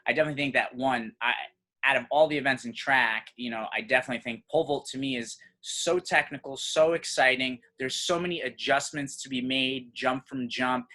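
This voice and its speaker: A man from Serbia hosting a podcast, talking in an animated, conversational way. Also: medium pitch, fairly quick. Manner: animated, conversational, says "you know" often. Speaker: podcast host.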